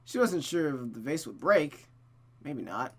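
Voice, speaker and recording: A streamer with a mid-pitched voice reads a visual novel aloud, narrating expressively and adding casual asides. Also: big pitch swings, measured pace.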